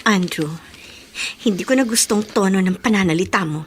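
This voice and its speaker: A woman's voice: medium pitch, normal speed, a little hiss or hum, lightly acted. An actress playing a wounded, defiant young woman in an emotional, indignant radio drama style. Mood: wary. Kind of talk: Tagalog radio drama acting.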